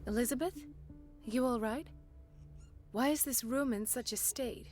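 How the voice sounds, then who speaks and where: An actress playing a composed, caring and determined hospital doctor in a video game, with a measured clinical delivery. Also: female voice, fairly high pitch, darkish tone, soft background music, lightly acted. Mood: concerned. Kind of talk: video game cutscene acting.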